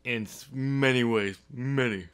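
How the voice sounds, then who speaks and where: A man's voice: mid-range voice, unhurried, fairly bright tone, clear, resonant tone. A man hosting a podcast, casually talking through a story with informal, chuckling banter. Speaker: podcast host and author. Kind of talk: casual book discussion.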